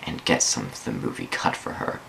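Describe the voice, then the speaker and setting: A man's voice: a bass voice, big pitch swings, fairly quick, creaky, pushed and bright, slight background noise, pitched below the performer's own voice, heavily acted, rough recording. A man voicing a weary, reluctant young female college student in a dramatic story reading. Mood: surprised. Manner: weary, sighing.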